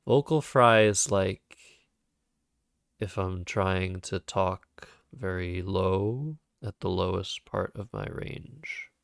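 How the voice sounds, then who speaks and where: A man with a calm, soothing voice that drops into gravelly vocal fry, unhurried and deliberate as he talks through his plays. His voice sits fairly low, and the sound is dry and close, picked up by a cheap microphone. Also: no room sound. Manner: thinks aloud over moves, soft-spoken and unhurried. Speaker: Scrabble streamer. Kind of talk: live-streamed game commentary.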